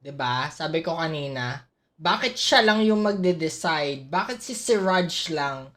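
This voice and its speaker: Low-voiced Filipino woman reacting while gaming. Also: expressive, moderate pace, a bit bright. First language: Tagalog. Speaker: streamer. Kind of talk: casual live game reaction, mixing Tagalog and English.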